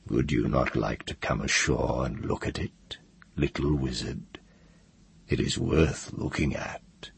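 A man voicing a huge, ancient, sly dragon in a storybook, with a dry, whispery voice and slow, deliberate delivery. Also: very deep voice, vocal fry, slightly rough, pitched below the performer's own voice, exaggerated voice. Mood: sly, taunting. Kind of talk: audiobook fantasy character voice.

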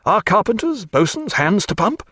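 A man's voice: sits high, sing-song, moderate pace, a bit bright, no echo, clean studio sound, pitched above the performer's own voice, exaggerated voice. A man doing a gushing, eager merchant captain. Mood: effusive. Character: effusive red-faced middle-aged merchant captain.

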